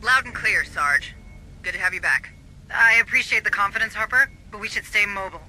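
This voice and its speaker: A woman playing a brisk, authoritative military officer in a video game, commanding and businesslike. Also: mid-range voice, bright, forceful tone, poor audio. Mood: composed, businesslike.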